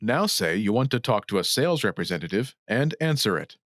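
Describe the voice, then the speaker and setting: A man narrates a scripted language lesson as its host. His delivery is clear and instructional, with lively pitch movement. The sound is close and dry. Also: brisk, dry recording.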